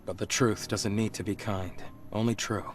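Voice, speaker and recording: A calm, pragmatic male guide played by a man in a game, with a fairly low voice. He talks in an understated, matter-of-fact way over faint music. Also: moderate pace, natural voice. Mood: grave, calm. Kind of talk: video game character acting.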